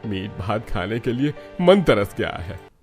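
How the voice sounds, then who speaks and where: A man's voice: on the low side, very animated, unhurried, vocal fry, a little mellow, faint music behind, heavily acted. A man playing an older village father-in-law and mango farmer in a cartoon story, irritable and weary in manner. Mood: grumbling. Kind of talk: Hindi cartoon story dialogue.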